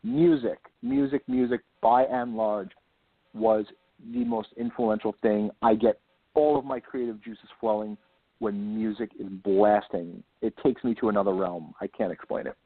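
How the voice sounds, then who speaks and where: A man speaking as an author guest in a casual interview, enthusiastic and rambling, repeating words for emphasis, with a slightly husky voice. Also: lowish voice, steady tone, moderate pace. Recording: lo-fi phone-like line, roomy echo.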